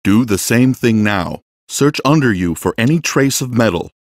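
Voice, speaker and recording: An actor playing a big, commanding smith mage in an audiobook, giving patient, directive instruction. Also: male voice, mid-range voice, expressive, moderate pace, dry recording, studio quality, close to an everyday voice. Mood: coaching. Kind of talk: full-cast audiobook dialogue.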